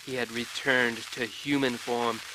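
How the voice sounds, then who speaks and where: An actor playing a pompous, grimly vengeful storyteller hero in a video game, delivering a florid and theatrical monologue. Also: male voice, medium pitch, level tone, measured pace, bright, forceful tone, low-quality recording. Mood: grim, ominous. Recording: rain and storm noise behind. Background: rain ambience.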